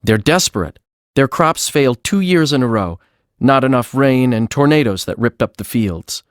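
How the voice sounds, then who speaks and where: A man's voice: medium pitch, lively intonation, moderate pace, clear, resonant tone, no room sound, studio quality, natural voice. A man playing a serious, learned mage in a fantasy audiobook, explaining things in a matter-of-fact way. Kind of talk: full-cast audiobook acting.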